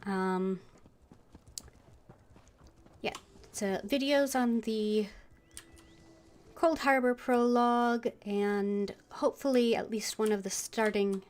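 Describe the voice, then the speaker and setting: A woman game streamer chatting casually on a livestream in a hesitant, halting way. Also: mid-range voice, a little mellow.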